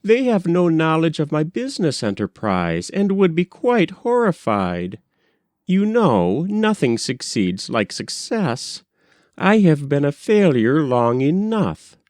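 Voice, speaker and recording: A man's voice: a little high, very animated, slow, darkish tone, no echo, clean studio sound, voice pushed up. A man voicing an earnest, prim, determined young society woman in a storybook. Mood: proud, flustered. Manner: straightforward, prim, resolute.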